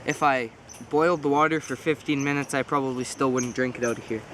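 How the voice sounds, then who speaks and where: A man's voice: mid-range voice, a little fast. Man, nasal from a cold, easygoing outdoors vlogger talking through a demo, faint outdoor hiss behind. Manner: relaxed, rambling, self-correcting. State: congested with a cold. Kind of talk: casual outdoor demonstration. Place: outdoors by a pond.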